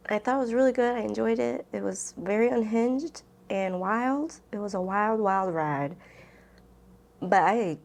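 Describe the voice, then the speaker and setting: A woman's voice: mid-range voice, measured pace, vocal fry. A woman chatting casually as a book vlogger, rambling and interrupting herself in a giggly, informal way. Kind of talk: casual chat to camera, book tag.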